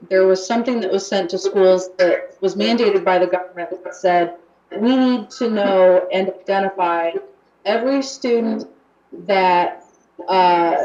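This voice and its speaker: A woman's voice: mid-range voice, a little mellow. A young American woman talking casually on a radio show, chatty with many fillers and restarts. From United States. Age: young adult. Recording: roomy, muffled radio recording.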